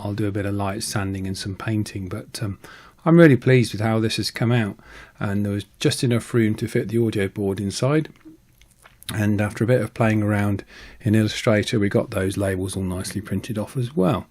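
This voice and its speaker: A man's voice: fairly low pitch, darkish tone. A middle-aged man who hosts a channel, casually narrating a hobby prop build. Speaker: host of a prop-making channel.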